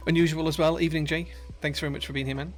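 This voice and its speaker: A man's voice: fairly high pitch, level tone, racing, soft background music. A man with a broad Yorkshire accent, chatting casually on a live stream from his workshop and reading viewer comments aloud with lots of uhs. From Yorkshire, England. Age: adult, married. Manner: friendly, chatty, many uhs and restarts. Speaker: streamer and keyboard builder.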